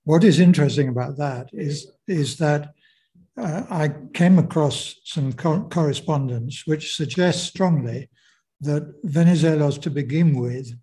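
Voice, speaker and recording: A man giving a lecture, answering audience questions in a hesitant, measured way with many fillers. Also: fairly high pitch, dark tone. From Britain.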